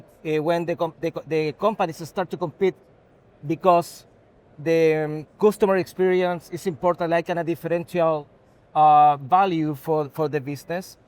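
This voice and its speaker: A man with a Chilean Spanish accent and a fairly high voice speaks as an executive being interviewed. His English is a second language, with halting, non-native phrasing as he works through unscripted answers. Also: a little mellow, clean tone.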